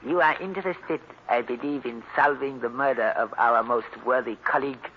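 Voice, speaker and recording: An actor playing a courtly, guarded scholar in an old radio drama, with ornate, precise and formal speech. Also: male voice, medium pitch, normal speed, lightly acted. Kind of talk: 1940s radio acting. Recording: hissy, dull old radio recording.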